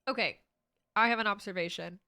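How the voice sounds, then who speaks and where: A woman's voice: medium pitch, brisk, sharp tone, smooth. A young woman giving casual, irreverent playthrough commentary as a game streamer, full of slang and swearing.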